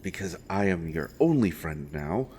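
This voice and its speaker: Male actor for deep-voiced fantasy roleplay royal interrogator, secretly protective, earnest, pleading urgency. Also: lively intonation, normal speed, darkish tone, breathy edge. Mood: defensive, wry. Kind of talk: dramatic fantasy audio roleplay addressed to the listener.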